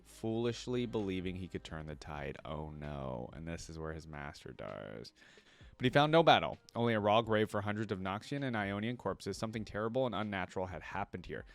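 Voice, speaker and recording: Low-voiced man hosting a reaction video. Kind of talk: casual reaction commentary mixed with reading aloud.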